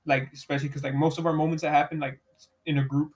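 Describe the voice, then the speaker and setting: A young man with a fairly high, somewhat raised voice hosts a loose, unplanned podcast. He talks in easy slang, peppered with "bro" and cursing. He laughs often as he goes. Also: little pitch movement, fast talker, a little mellow. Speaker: podcast host. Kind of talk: casual chat with heavy swearing.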